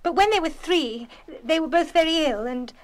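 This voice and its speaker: A mother in a radio drama. Her voice is very high, and she talks haltingly, with reserve and regret. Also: lively intonation. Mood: regretful, hesitant. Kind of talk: full-cast audio radio play acting.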